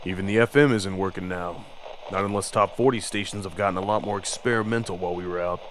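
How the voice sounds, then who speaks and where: A man playing a conspiratorial logger in an audio horror drama, talking in a low, confiding way. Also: fast talker, fairly bright tone, slight background noise, poor audio. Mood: dry, wry. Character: male logger, conspiratorial crew mate sharing grim rumours.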